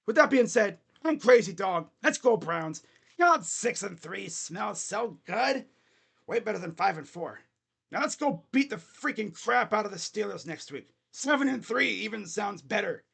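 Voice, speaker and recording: A man hosting his own sports recap channel, talking as an excited fan who trash-talks rivals and whose voice is getting hoarse. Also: sits high, normal speed. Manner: animated, trash-talking, laughs often.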